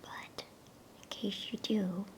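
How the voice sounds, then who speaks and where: A woman reading a storybook aloud in a hushed, soothing ASMR whisper, taking her time as a bedtime reader. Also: medium pitch, fairly even intonation, slow pace, rough recording.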